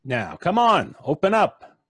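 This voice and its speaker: A man's voice: high voice, big pitch swings, a little slow, fairly bright tone, breathy edge, no echo, clean studio sound, exaggerated voice. An actor playing a brusque, impatient tough city policeman in old-time radio suspense style, blunt, slangy and bossy.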